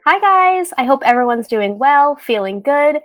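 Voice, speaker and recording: Upbeat higher-voiced female podcast host. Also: expressive, a little slow, dry recording, faint music behind. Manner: upbeat and chatty. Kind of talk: casual podcast interview. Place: home, recording remotely. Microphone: video call.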